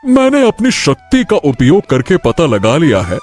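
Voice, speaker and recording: A man playing a deferential royal sage in a cartoon story, speaking in a measured, respectful way. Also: a little high, wildly swooping pitch, darkish tone, dry recording, soft background music. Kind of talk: Hindi cartoon story acting.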